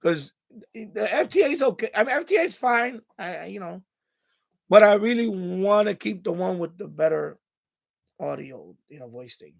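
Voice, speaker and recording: A middle-aged man hosting a casual live stream, rambling and thinking aloud in a halting way. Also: high-pitched, expressive, gravelly.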